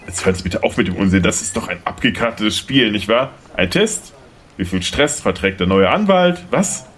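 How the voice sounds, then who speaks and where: Man playing an animated, indignant new lawyer. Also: fairly low pitch, normal speed. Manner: indignant, insistent. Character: exasperated young male lawyer, newly hired, indignant. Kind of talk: German audio drama acting.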